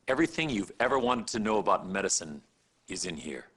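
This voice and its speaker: Raspy, forceful male senior doctor. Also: on the low side, sing-song, fairly quick, heavily acted. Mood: dry approval. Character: stern, condescending male senior doctor in a game. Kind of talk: acted game cutscene dialogue addressed to the player.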